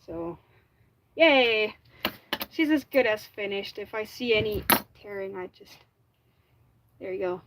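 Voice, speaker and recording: A woman's voice: mid-range voice, expressive, slow, long pauses, heavy background noise. A woman with a Flemish accent, native Dutch speaker, chatting casually on a livestream and often correcting herself mid-sentence. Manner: chatty, restarts and self-corrects, frequent "like". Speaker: livestreamer making a fursuit.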